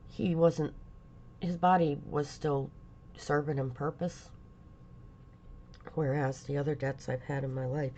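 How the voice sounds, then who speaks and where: Casting: stuffy fifties woman, gravelly and slow, rambling vlog chat, lo-fi hiss. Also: rumbling low. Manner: halting, rambling, many pauses and fillers. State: congested from a lingering cold. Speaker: vlogger. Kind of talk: casual personal vlog talk.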